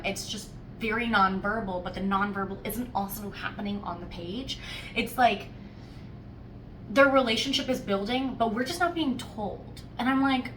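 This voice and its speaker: A woman chats through a casual book review with playful, wry emphasis. Her voice sits at an average pitch and is animated in its storytelling. The recording is echoey and a little distant, with faint noise behind her. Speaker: host of a book discussion podcast. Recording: roomy echo, distant mic, faint noise.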